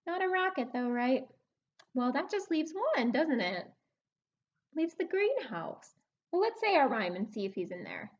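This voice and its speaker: A woman with a fairly high voice leads a story time for small children. Her pitch swings widely in a sing-song way as she reads and puts questions to her young listeners. The recording is a little dull and lo-fi. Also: big pitch swings, unhurried. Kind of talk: children's story time with read-aloud and rhyme game.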